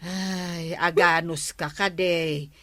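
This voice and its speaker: A woman with an Ilocano accent playing a calm, reasoning village wife in a radio drama. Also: fairly low pitch, drawn-out words, exaggerated voice. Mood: gently chiding.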